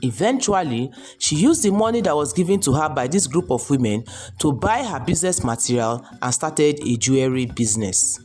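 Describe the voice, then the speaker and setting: A woman's voice: low voice, lively intonation, moderate pace, a little mellow. A woman storyteller reading a scripted folktale narration. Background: music behind the voice.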